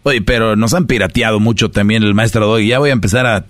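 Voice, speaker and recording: A man's voice: on the low side, fast, no room sound. A Mexican man hosting a radio show, giving playful, joking dating advice and acting out little dialogues.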